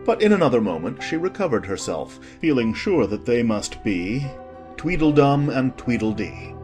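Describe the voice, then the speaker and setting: A narrator reading a children's storybook in an expressive, scripted storytelling manner. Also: mid-range voice, moderate pace, soft background music. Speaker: audiobook narrator.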